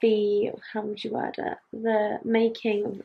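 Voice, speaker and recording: A woman's voice: mid-range voice, monotone, measured pace, creaky, muffled recording, slight background noise. A young woman, chatting to camera at home in a rambling vlog style. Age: young adult. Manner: rambling, chatty, with many ums. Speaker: vlogger. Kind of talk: casual vlog chat to camera. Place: home living room and bedroom, indoors. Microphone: camera at arm's length.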